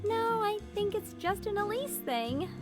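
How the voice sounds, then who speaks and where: A woman's voice: very high voice, very animated, unhurried, full character voice. A woman voicing a sweet, slightly ditzy young female student in a game, hesitant and polite yet bubbly. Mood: shy, polite. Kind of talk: visual novel game voice acting. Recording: music playing behind.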